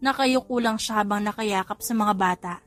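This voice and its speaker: A woman's voice: medium pitch, normal speed, a bit bright, soft background music. A woman with a Filipino accent reading a scripted romance story as an audiobook narrator.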